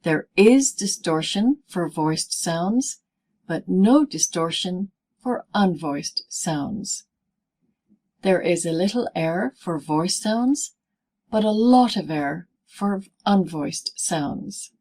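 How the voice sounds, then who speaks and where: A woman presenting a scripted pronunciation lesson as a teacher, speaking in deliberate, clearly segmented phrases. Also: on the low side, lively intonation, darkish tone. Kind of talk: scripted instructional narration in easy English.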